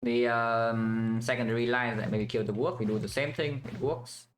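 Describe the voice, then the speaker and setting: A man's voice: mid-range voice, steady tone, normal speed, slight background noise. A man from Vietnam giving casual commentary while playing a strategy game, thinking aloud with many fillers and restarts.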